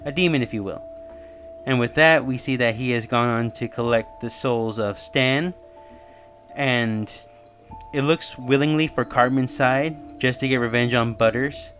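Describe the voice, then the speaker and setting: A man's voice: medium pitch, a little slow, fairly bright tone. A man giving casual, rambling explanatory commentary as the host of a theory video. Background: music under the voice.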